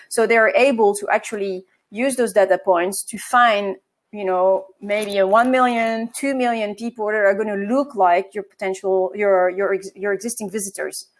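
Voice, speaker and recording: Woman, French accent, mid-pitched voice, hesitant, self-correcting, guest expert. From France. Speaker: marketing agency co-founder, guest. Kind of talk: explanatory interview answers.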